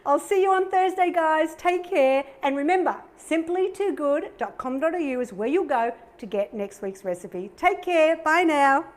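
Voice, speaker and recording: A middle-aged woman with an Australian accent, hosting a live cooking demonstration. Her voice sits high and she talks in a bubbly, chatty, upbeat way, full of little exclamations as she cooks. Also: expressive, moderate pace, few pauses. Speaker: cooking show host.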